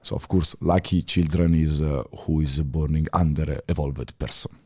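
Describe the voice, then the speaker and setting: Italian guy in his 30s, low mellow voice, chats in broken english with lots of uhs. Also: fairly even intonation, husky. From Italy. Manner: halting non-native phrasing with many fillers and restarts. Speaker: podcast guest, former chef. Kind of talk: casual interview talk.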